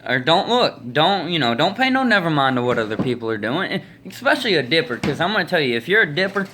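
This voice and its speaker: A man's voice: fairly high pitch, big pitch swings, fast talker, pushed and bright. A man in his twenties with an American accent, telling stories casually in a folksy, opinionated way into a phone at arm's length. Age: 20s. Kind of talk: casual rambling storytelling to camera. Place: small indoor room.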